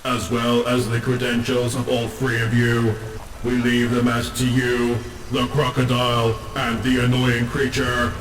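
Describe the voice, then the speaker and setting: Audio drama robot played by a man: self-important war machine, stilted and flat-pitched, distant from the mic. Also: measured pace, echoey room, a little hiss or hum, close to an everyday voice. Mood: businesslike. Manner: stilted, grandiose, refers to itself as unit. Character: pompous literal-minded male combat robot, stealth agent. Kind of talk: scripted comedic audio drama.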